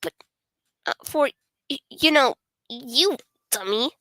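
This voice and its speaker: A woman's voice: high voice, expressive, slow pace, pushed and bright, no room sound, exaggerated voice. A woman voicing a flustered, prickly teenage schoolgirl from a visual novel, stammering and defensive. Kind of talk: visual novel character read on stream.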